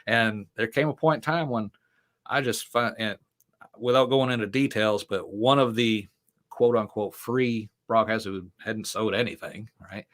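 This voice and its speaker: A man's voice: medium pitch, fairly bright tone. Rambling middle-aged man telling stories. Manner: rambling storyteller with frequent fillers and laughs. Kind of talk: casual interview talk.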